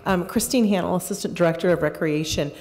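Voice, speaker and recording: A woman's voice: on the low side, fast, a little mellow, a little hiss or hum. A woman on a city recreation staff giving a matter-of-fact update at a public meeting. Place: community hall meeting room. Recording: long reverb of a meeting hall.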